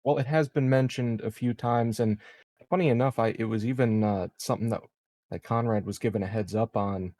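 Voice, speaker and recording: Calm, low-voiced man proposing a plan. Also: a little fast, no room sound. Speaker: player.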